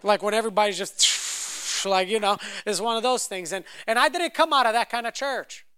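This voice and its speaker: Fervent young man preaching, high voice. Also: normal speed, smooth. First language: Romanian. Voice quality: repeats words when restarting. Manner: builds to loud fervent preaching. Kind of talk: sermon with personal story.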